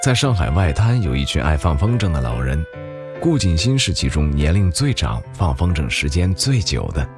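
A man reading scripted news feature narration in a measured, even-paced way. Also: extremely low pitch. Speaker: documentary narrator. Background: background music.

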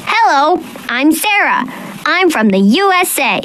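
A woman voicing a cheerful young schoolgirl in a scripted textbook dialogue, with a bright, lively delivery. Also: high voice, unhurried, slight background noise, full character voice. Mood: friendly.

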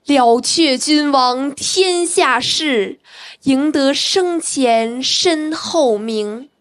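A female student reciting a classical poem in a measured, deliberate way. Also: high-pitched.

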